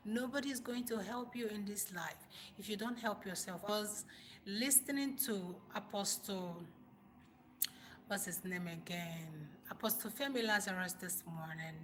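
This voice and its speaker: A woman with a Nigerian accent speaks in a bright, forceful voice. She gives informal motivational talk to live viewers, leaning on repetition and pointed rhetorical questions for emphasis. There is noticeable noise behind her voice. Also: mid-range voice. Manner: emphatic, repeats phrases for stress, rhetorical questions. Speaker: livestream host, motivational speaker.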